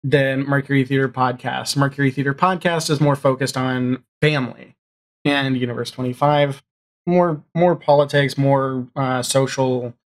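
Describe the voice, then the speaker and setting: A man's voice: mid-range voice, steady tone, moderate pace. A middle-aged or younger man chatting casually as a podcast guest, rambling a little as he thinks aloud. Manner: rambling, thinks aloud, often ends on "right?". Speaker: guest, audio drama showrunner. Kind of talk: casual chat. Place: home room, video call.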